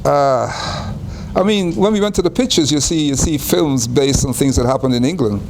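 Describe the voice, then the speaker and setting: A man's voice: fairly high pitch, gravelly, noisy background. Older Guyana-born man recalling his childhood. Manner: unhurried, repeats and restarts phrases. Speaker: interviewee. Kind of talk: reflective life-story interview.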